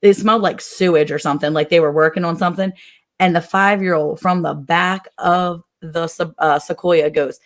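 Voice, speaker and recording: A woman's voice: lowish voice, steady tone, normal speed. Middle-aged American woman telling casual anecdotes.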